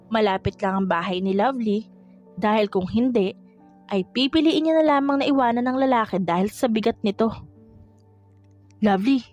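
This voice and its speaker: A woman's voice: on the high side, a little mellow, dull audio. A woman reading scripted romance fiction as an audiobook narrator. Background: music.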